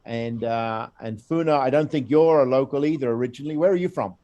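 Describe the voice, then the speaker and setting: A man's voice: medium pitch, fairly quick, lo-fi sound. An Australian man directing a program, giving an informal recorded presentation in a chatty, rambling way as he draws out his colleague. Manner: chatty, rambling, warm encouragement of his guest.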